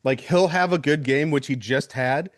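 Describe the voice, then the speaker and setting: A man's voice: a little high, moderate pace. A man co-hosting a casual sports podcast in an easygoing, chatty way. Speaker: podcast co-host.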